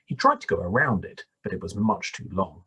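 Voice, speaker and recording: A man reads a children's picture book aloud. His voice is markedly husky and breathy, and his pitch moves around expressively. He takes his time, pausing for drama as a storyteller does. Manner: animated, deliberate, dramatic pauses. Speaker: storybook reader.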